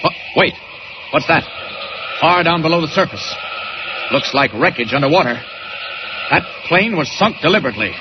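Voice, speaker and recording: An actor playing a confident hero who thinks aloud in clipped, declamatory remarks, in 1940s radio style. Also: male voice, on the high side, lively intonation, moderate pace, long pauses, natural voice. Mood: resolute. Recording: very noisy muffled old radio recording.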